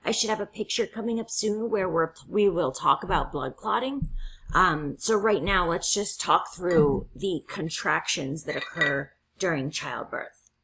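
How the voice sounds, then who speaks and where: A woman's voice: on the low side, unhurried, a bit bright, a little hiss or hum, rough recording. A woman instructor giving a college lecture while losing her voice, explaining step by step and checking in with "right?". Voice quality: husky, gravelly. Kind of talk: classroom lecture.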